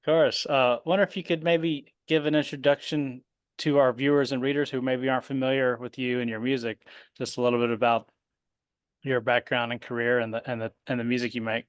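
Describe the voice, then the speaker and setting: A male host introduces his guest and asks her questions, stumbling through uhs and restarts. His voice is smooth and clear, recorded dry and close. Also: mid-range voice, level tone, brisk, no echo. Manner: hesitant, many uhs and restarts. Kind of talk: interview questions.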